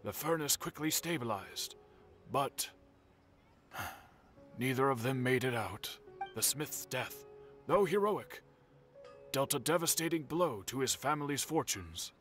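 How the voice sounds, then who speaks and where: A man's voice: mid-range voice, animated, slow, long pauses, fairly bright tone. A man voicing a grave elderly storyteller in a video game, speaking solemnly and sighing. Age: older. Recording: faint game music behind.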